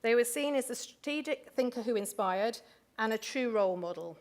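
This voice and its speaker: A woman's voice: fairly high pitch, lively intonation, moderate pace. Chatty woman hosting an awards ceremony. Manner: chatty, with asides and fillers. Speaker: awards host. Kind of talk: live award ceremony presentation. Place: large hotel function room.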